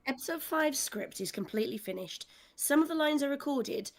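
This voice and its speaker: A woman's voice: high-pitched, animated, a little fast. A woman speaking casually in a panel discussion as a writer and director, with a wry, self-deprecating manner. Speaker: audio drama scriptwriter and director.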